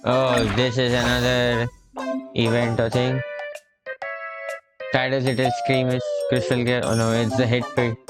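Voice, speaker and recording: A woman with a very low voice talks slowly and easily while reacting to a story game. She reads lines aloud with a chuckle. Game music plays clearly behind her. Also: little pitch movement. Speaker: gameplay streamer. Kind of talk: casual game reaction commentary.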